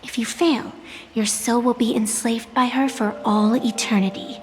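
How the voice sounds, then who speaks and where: A woman's voice: on the high side, steady tone, moderate pace, a little hiss or hum, natural voice. An actress playing a mysterious, playful and aloof supernatural woman in a video game, with a theatrical, formal, teasing delivery. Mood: intrigued, grand. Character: mysterious, playful, aloof female supernatural being granting pacts. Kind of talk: video game character dialogue. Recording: long reverb, music behind.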